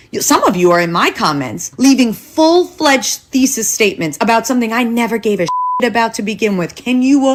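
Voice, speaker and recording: A woman giving a casual, comedic rant in a sassy, emphatic way. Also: medium pitch.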